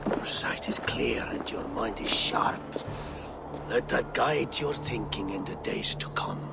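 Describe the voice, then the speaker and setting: Gravelly, ailing old Viking jarl. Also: male voice, medium pitch, in a whisper. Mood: solemn counsel. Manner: slow, grave, measured counsel. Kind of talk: dramatic video game acting. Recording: loud background noise under the voice.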